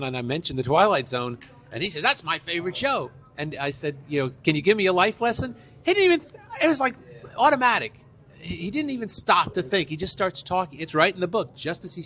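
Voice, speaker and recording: A man's voice: sits high, fairly bright tone. A middle-aged or older man, an author being interviewed, telling anecdotes conversationally and repeating phrases for emphasis.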